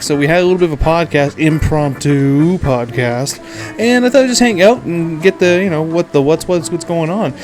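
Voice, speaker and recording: Male podcast host, slightly high voice, loose and jokey, thinks out loud as he chats. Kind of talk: casual podcast chat.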